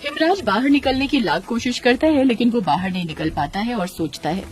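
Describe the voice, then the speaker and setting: A woman's voice: fairly high pitch, expressive, brisk. A woman narrating a scripted children's story as a storyteller.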